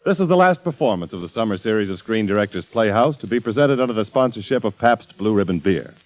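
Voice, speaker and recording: A man's voice: on the low side, expressive, quick pace. A man working as the announcer of a 1940s radio broadcast, giving a formal, polished sponsor read. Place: radio studio.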